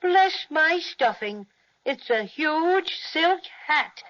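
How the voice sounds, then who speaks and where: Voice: high voice, lively intonation, slow pace, fairly bright tone, full character voice. An actor playing a small, good-natured, earnest stuffed toy bear in an old-time children's radio serial. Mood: mild wonder. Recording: dull, lo-fi old radio recording.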